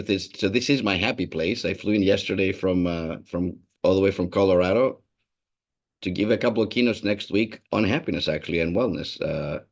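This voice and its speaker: Native Greek speaker, gravelly and low, reflective keynote manner, muffled call audio. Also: male voice. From Greece. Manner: measured, storytelling, with many restarts. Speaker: wellness and happiness speaker. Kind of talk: reflective talk on a video call. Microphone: video call.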